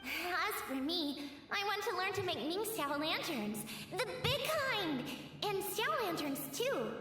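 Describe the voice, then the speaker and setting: A woman voicing an excited little child who dreams of making lanterns, heard in an echoing flashback. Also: extremely high pitch, slow pace, distant mic, exaggerated voice. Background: game music.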